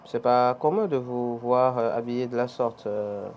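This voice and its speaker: A man's voice: medium pitch, dull audio, a little hiss or hum. A man with a Beninese French accent hosting a morning TV press review, reading headlines and prompting his guest. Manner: brisk presenter, reads headlines, frequent mhm. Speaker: host.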